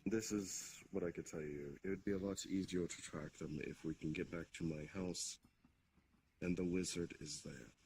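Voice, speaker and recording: A man playing a deep-voiced, courteous Demon King. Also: steady tone, normal speed, darkish tone. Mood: stately, commanding. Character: newly awakened male Demon King, stately, commanding, courteous host. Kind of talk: improvised virtual-reality roleplay. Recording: clearly noisy amateur voice-chat audio.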